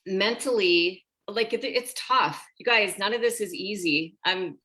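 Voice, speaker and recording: A woman's voice: mid-range voice, unhurried. A woman in her sixties, a women's health coach, chatting animatedly on a group video call and talking straight to the group. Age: 60s. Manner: animated, talks straight to the group as "you guys".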